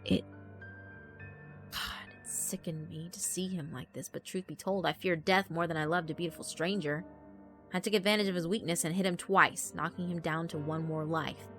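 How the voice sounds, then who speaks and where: A woman giving a grave, deliberate, dramatic reading of a horror story as narrator. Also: on the low side. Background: background music.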